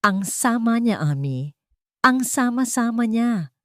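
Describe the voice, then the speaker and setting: A woman's voice: a little high, lively intonation, takes it slowly, fairly bright tone, no room sound, studio quality, pitched above the performer's own voice. A woman voicing a protective, indignant young female best friend in an audio love story, speaking emphatically and emotionally.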